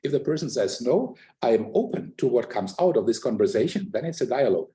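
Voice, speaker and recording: An older man talks through his ideas in a thoughtful, explanatory way. He pauses often with fillers. His voice is mellow, at a middle pitch for a man. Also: sing-song. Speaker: academic guest in a conversation. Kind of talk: reflective conversational talk.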